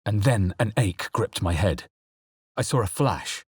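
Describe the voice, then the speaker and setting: A low-voiced, brooding adventurer hero for a fantasy game. He speaks in measured, solemn phrases with crisp clarity, recorded close and dry. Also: animated, no room sound, exaggerated voice. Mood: brooding, intense. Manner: measured, dramatic, solemn delivery. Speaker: video game voice actor. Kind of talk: dramatic first-person game narration and character lines.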